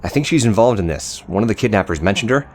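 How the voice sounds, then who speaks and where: Man acting nervous teen boy, low voice, quick, agitated, hesitant, audio drama. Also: lively intonation, darkish tone. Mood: urgent. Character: nervous high school boy anonymously reporting a crime.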